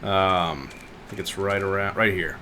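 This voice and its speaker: A man's voice: deep voice, a little slow, bright, forceful tone, a little hiss or hum. A man giving casual playthrough commentary as a video game streamer. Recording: game music behind the voice. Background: video game audio.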